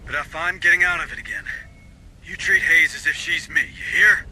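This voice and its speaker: Tough, forceful marine sergeant. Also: male voice, a little high, steady tone, normal speed, a little hiss or hum, low-quality recording. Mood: grave, firm. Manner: terse, commanding. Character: tough, curt male marine squad sergeant. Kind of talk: video game voice acting.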